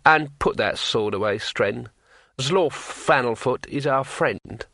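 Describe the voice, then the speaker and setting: A man voicing a calm, soft-spoken male thief master who speaks levelly and slowly in a storybook reading. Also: medium pitch, dry recording, exaggerated voice. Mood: quietly menacing. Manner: quiet, level, slow.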